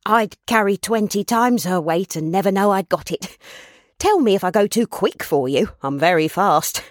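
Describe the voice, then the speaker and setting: A woman voicing an excitable, kindly old father, a poor porter who talks in a breathless, repetitive bustle in a storybook reading. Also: medium pitch, moderate pace, dry recording, clean studio sound, close to an everyday voice. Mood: eager, bustling. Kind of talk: storybook character voice.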